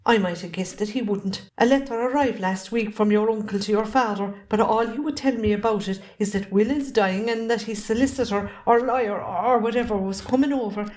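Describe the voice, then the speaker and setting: A woman's voice: on the high side, brisk, few pauses, a little mellow, natural voice. A woman acting a wronged, middle-aged farmer's wife in an amateur play, reproachful and pointed, with an Irish accent. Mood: weary, resentful. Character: wronged, resentful Irish farmer's wife, standing her ground.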